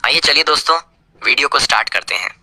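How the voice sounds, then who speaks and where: A man's voice: medium pitch, lively intonation, fast, sharp tone. A man hosting a channel, addressing viewers directly in a casual, friendly appeal. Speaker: channel host.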